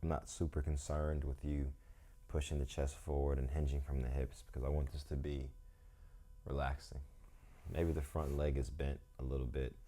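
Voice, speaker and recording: Male yoga teacher, croaky, very deep and mellow, reflective and unhurried. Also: flat delivery. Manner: slow, reflective, thinks aloud. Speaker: yoga instructor. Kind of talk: calm guided yoga instruction with musing asides.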